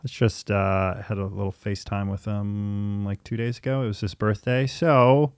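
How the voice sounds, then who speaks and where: Gravelly low-voiced man chatting casually. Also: flat delivery, moderate pace, no echo, studio quality. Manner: laughs easily while talking, candid. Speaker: podcast host and therapy client. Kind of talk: casual conversational chat.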